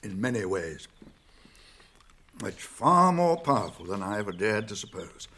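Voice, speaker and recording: A British actor playing a wise, kindly old wizard in a radio drama, speaking gravely and deliberately with thoughtful hums. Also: male voice, on the low side, big pitch swings, bright, forceful tone, heavily acted. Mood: grave, ominous. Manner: deliberate, pausing, murmuring hums and chuckles.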